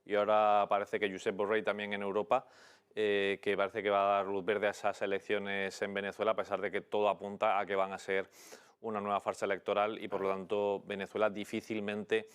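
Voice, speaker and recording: A man presenting a studio panel debate in a measured, conversational way. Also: fairly low pitch, monotone, smooth.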